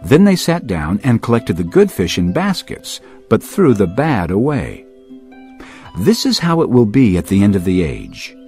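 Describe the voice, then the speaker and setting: An actor playing an earnest man teaching crowds in parables, in a measured storytelling delivery for a dramatised audio Bible. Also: on the low side, huge pitch swings, a little mellow, full character voice. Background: music.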